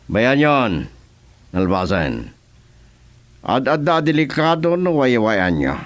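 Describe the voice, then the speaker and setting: A man's voice: mid-range voice, sing-song, takes it slowly. A man playing a menacing, smug crime boss in a radio drama, with an Ilocano Filipino accent. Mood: smug menace.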